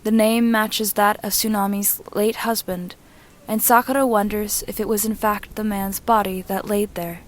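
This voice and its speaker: A woman narrates prose fiction in a mid-range voice. Her reading is measured and descriptive, following a written script as in an audiobook. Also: medium pitch, flat delivery. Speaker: reader and narrator of fan fiction.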